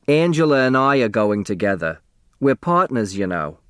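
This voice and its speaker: A man's voice: medium pitch, big pitch swings, normal speed, clean tone, no echo, clean studio sound, lightly acted. A man voicing a pushy, self-pitying woman secretary in a storybook, chatty and self-dramatising. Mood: firm.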